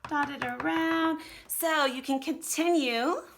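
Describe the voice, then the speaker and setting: Bright, higher-pitched American woman teaching art. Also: fairly even intonation, slow pace, vocal fry, a little hiss or hum. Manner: encouraging, talks directly to young pupils. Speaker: art teacher giving a drawing lesson to children. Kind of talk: casual step-by-step demonstration while drawing.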